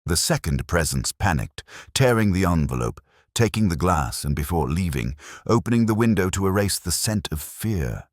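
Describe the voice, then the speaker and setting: A man playing a weary, melancholy English police detective, narrating a radio mystery in a measured, literary, introspective manner. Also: low-pitched, sing-song, no room sound, clean studio sound, heavily acted. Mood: sombre, reflective. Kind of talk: slow literary first-person detective narration, radio drama.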